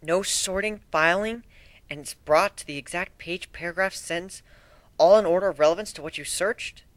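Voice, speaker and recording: A man's voice: high voice, moderate pace, fairly bright tone, dry recording. A man voicing a bookish, curious young female pony in an amateur dramatic reading, questioning and a little hesitant. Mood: curious, amazed.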